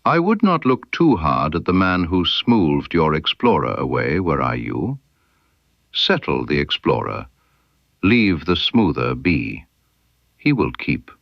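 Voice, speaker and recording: A man voicing a cool, knowing woman informant in a mystery story, speaking softly and deliberately with guarded amusement. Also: extremely low pitch, big pitch swings, gravelly, dry recording, dull audio, exaggerated voice. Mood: quiet warning. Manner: soft, deliberate, veiled warnings.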